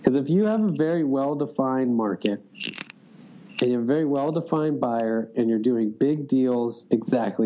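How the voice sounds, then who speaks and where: Mellow-voiced man speaking casually. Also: medium pitch, slight background noise, low-quality recording. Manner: loose, filler-heavy, frequent "like". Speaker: sales executive guest. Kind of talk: casual interview talk.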